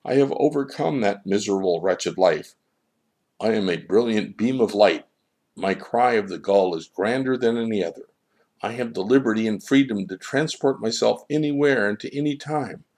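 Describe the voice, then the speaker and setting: A man's voice: on the low side, normal speed, a little mellow, voice pushed up, natural voice. Man voicing a proud, protesting seagull. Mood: boastful, protesting. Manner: protesting, boastful. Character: proud, restless, defensive male seagull seeking enlightenment.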